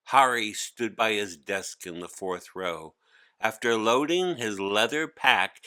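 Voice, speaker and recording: A man giving an expressive, scripted read-aloud narration of a children's story. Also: on the low side.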